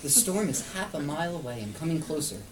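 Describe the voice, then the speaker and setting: A man's voice: a little high, sing-song, fast. A man reading a picture book aloud to a young child, explaining and asking simple questions as he goes. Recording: distant mic, clear background noise.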